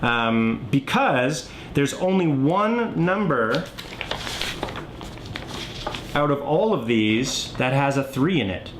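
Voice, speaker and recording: A man's voice: sing-song, slow pace, pauses often. A man giving a casual, unscripted board game tutorial, thinking aloud as he demonstrates the moves. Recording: clearly noisy, low rumble.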